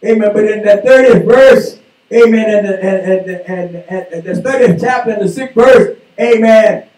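A man preaching a sermon from a church pulpit, slowly working through his points and dropping "amen" after almost every phrase. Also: high-pitched, a little mellow. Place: small church sanctuary, indoors. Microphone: pulpit mic, filmed by a far camera. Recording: echoey room from far off.

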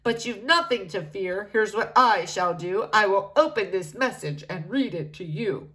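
A woman's voice: mid-range voice, lively intonation, a little slow, a bit bright, breathy edge. A woman voicing a formal male butler who speaks in a drone. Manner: droning, formal. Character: prim, droning male butler.

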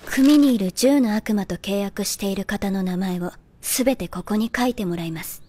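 A woman's voice: medium pitch, slight background noise, lightly acted. Woman acting a chillingly composed young female agent. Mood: polite, firm. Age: young adult. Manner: polite, measured, unruffled. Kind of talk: anime drama acting. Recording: loud music under the voice.

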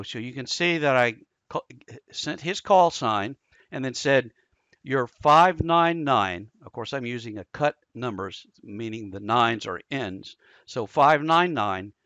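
A man's voice: mid-range voice, fairly bright tone, dry recording, muffled recording. An American man giving a casual screen-recorded tutorial, explaining informally step by step. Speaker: amateur radio instructor.

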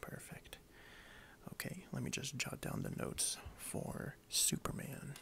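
A man speaking softly in a breathy half-whisper, hushed and friendly, role-playing a toy shop owner for ASMR. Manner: hushed, unhurried, friendly, hesitant phrasing. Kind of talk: soft-spoken ASMR role play. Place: indoors at a wooden desk.

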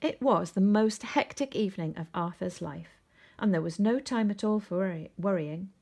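Female storybook reader, expressive read-aloud, soft husky, breathy edge to the voice. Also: mid-range voice, moderate pace. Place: indoors at home, seated.

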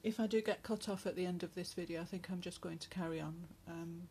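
Softly chatty woman, slightly hoarse. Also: lowish voice, steady tone, a little hiss or hum. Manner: soft, chatty, with many pauses and fillers. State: going hoarse, clearing her throat. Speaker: crafter showing a handmade journal. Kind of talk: casual craft flip-through narration. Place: indoors at a table at home. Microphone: phone camera above the table.

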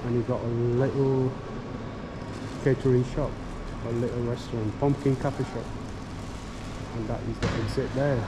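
A middle-aged man speaking casually and haltingly as a travel vlogger, thinking aloud as he goes. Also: mid-range voice, little pitch movement. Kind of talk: casual vlog commentary. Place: railway station hall and inside a train carriage. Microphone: action camera at arm's length. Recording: loud ambient station and train noise.

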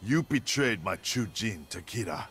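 An actor playing a stern, proud ninja clan grandmaster in a video game, speaking in a measured, formal, commanding way. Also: male voice, lowish voice, lively intonation, background noise. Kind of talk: video game character dialogue.

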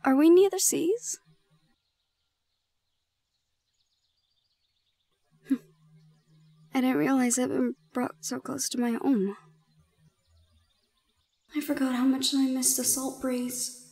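A woman playing a shy, gentle young gorgon in an intimate audio roleplay, speaking softly and haltingly. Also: high voice, no room sound. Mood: wistful, amused. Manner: soft, hesitant, halting.

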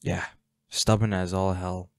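Man acting slow, low-voiced protective hunter boyfriend. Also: little pitch movement, a little mellow, no echo, studio quality. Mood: fond, wry. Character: protective, defiant boyfriend, a monster hunter, tender but ruthless. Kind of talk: intimate roleplay audio drama.

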